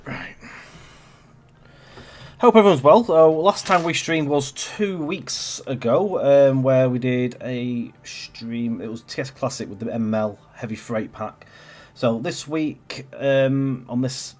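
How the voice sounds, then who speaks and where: A man with a blocked-up, cold-ridden voice hosts a relaxed gameplay stream. He rambles and restarts, filling gaps with ums as he reads the chat. His pitch sits right in the middle for a man. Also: slow. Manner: rambling, chatty, with many fillers and restarts. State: congested with a cold. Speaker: stream host. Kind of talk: casual gameplay livestream chat.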